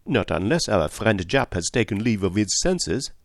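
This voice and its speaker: A man's voice: medium pitch, big pitch swings, normal speed, darkish tone, no echo, clean studio sound, voice pushed up. A man voicing a clever, placid older foreign detective in an audiobook, with a precise, teasing, philosophical manner. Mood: placid, knowing. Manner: precise, philosophical, sprinkled with French endearments. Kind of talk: audiobook character dialogue.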